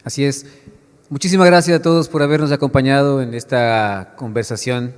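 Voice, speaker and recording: A man moderates a panel, his speech halting and full of restarts. His pitch is middling. The whole thing rings with the long echo of a big hall. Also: fairly even intonation, clear, resonant tone. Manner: hesitant, many fillers and restarts. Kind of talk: panel discussion. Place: book fair panel hall. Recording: echoey hall sound.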